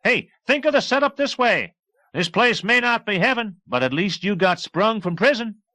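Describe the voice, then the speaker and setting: A cocky, chummy American chopper pilot in an audiobook novel, acted out by a male narrator. He pitches his voice well up and swings it around through breezy, slangy banter. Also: lively intonation, normal speed, bright, forceful tone, no echo. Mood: breezy, excited.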